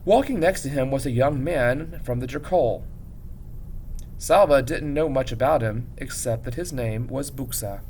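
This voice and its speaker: A man reading a story aloud as a teacher would, in an expressive, measured narration with dramatic pauses. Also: mid-range voice, a little hiss or hum.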